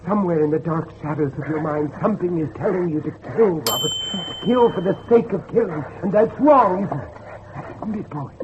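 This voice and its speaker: An actor playing a desperate, pious middle-aged father pleading urgently with his son in a horror audio drama. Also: fairly high pitch. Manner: preacherly, urgent, repeating himself. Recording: dull lo-fi audio, loud background noise.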